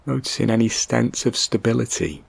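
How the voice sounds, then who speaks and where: A man leading a guided meditation in a gentle, calm, measured way, leaving long pauses between short instructions. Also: mid-range voice, creaky, husky, no room sound.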